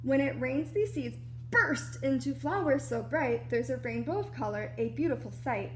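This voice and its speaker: High-voiced woman reading to young children. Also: normal speed, faint music behind. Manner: animated, explanatory, speaking to young children. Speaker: preschool teacher reading a story aloud. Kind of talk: children's picture book read-aloud with asides.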